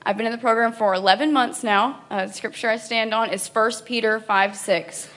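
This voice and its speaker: A woman in her twenties leading introductions at a church service, speaking in a relaxed, informal way. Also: on the high side, moderate pace. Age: 20s. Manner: relaxed, informal, with some ums. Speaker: program resident leading the introductions. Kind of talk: church presentation.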